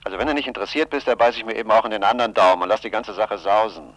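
An actor playing a brash, slangy police detective in a radio crime drama. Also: male voice, fairly low pitch, racing, fairly bright tone, lightly acted. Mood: needling. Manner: slangy, teasing. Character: brash, needling police detective pitching a case.

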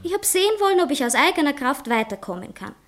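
An actress playing a self-important young divorced poetess in a satirical stage comedy, speaking theatrically and earnestly. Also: female voice, high voice, very animated, fast talker. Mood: insistent, adoring. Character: self-dramatizing young divorced poetess defending herself to her fiancé. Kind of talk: stage comedy acting in a radio play manner.

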